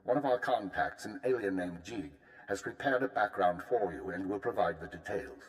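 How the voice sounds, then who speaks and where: An actor playing a male intelligence handler briefing a field agent in a crisp, authoritative way. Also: deep voice, animated, moderate pace, rough recording. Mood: commanding. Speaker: spymaster giving orders. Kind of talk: video game voice acting.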